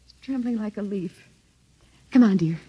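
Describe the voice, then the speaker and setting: An actress playing a gentle, worried mother with a soft, husky voice in a 1950s radio drama. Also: medium pitch, normal speed, highs cut off, lightly acted. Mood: soothing, worried.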